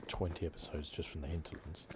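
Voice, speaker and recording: An extremely deep-voiced man hosts a relaxed ASMR video game playthrough. He talks in a hushed, unhurried and slightly hesitant way. Clear noise and game ambience sit behind his voice. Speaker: gaming channel host.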